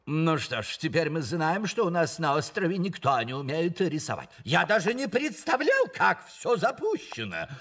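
An actor playing a dreamy, theatrical pirate schoolboy in a cartoon, with a drawn-out storytelling manner. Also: male voice, a little high, big pitch swings, fairly bright tone, full character voice. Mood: indignant musing. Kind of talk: animated cartoon dialogue. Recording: music under the voice.

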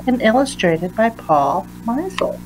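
A woman reading a children's science picture book aloud with deliberate, emphatic phrasing for young listeners. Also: a little high, big pitch swings, music in the background, low-quality recording. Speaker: read-aloud reader.

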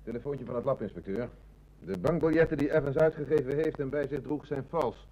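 An actor with a Netherlands Dutch accent playing a wry, needling police sergeant in an old radio drama. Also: male voice, mid-range voice, fairly quick, mellow, dull audio, lightly acted. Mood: dry, matter-of-fact.

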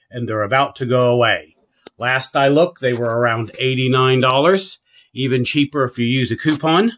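A man's voice: medium pitch, a bit bright. Plain-spoken man reviewing a product. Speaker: product reviewer and channel host. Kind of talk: casual product review and demonstration. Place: carpeted room indoors.

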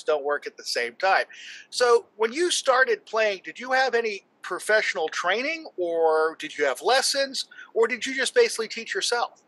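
Upbeat high-voiced male host. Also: bright, forceful tone, no room sound, low-quality recording. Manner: upbeat, chatty radio-style delivery. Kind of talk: casual interview. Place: home studio with memorabilia on walls.

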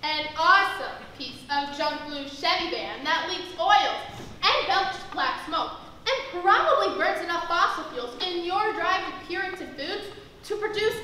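A woman's voice: very high-pitched, sharp tone, heavily acted. A woman playing an exasperated, sarcastic young supermarket cashier in a stage comedy, emphatic and put-upon. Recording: distant, very echoey theatre stage recording with noise.

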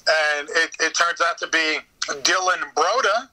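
A man chatting casually as an interview guest, telling stories in an animated way. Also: on the high side, unhurried, a crowd in the background, low-quality recording. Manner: animated storyteller, slips into acting out bits. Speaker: interview guest, radio theater voice actor. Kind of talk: casual anecdotal interview chat.

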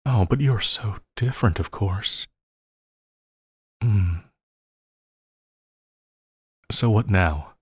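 A man playing an obsessive, lovesick supervillain in an intimate audio roleplay, halting and crooning, by turns sinister and pleading. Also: lowish voice, breathy edge, dry recording, heavily acted. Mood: tender, menacing. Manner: halting, crooning, breaking into laughter.

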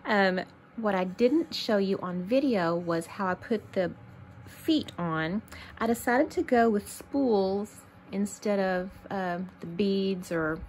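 A woman's voice: mid-range voice, a little hiss or hum. A woman from Texas hosting a casual crafting tutorial, chatty and thinking aloud as she works. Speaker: craft channel host.

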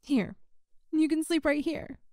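Woman acting a high-voiced, playfully teasing young woman. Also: unhurried, darkish tone, no room sound, studio quality. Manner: teasing, giggly, conversational. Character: playful teasing young woman, best friend's older sister. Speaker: audio roleplay voice actor. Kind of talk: intimate ASMR-style audio roleplay, one side of a conversation.

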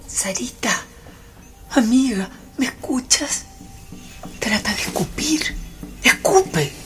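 An actress playing an anxious, devoted friend in a radio drama, emotive and worried, with a Chilean accent. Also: female voice, fairly high pitch, lively intonation, slow. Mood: pleading. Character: anxious, devoted female friend of a bewitched woman.

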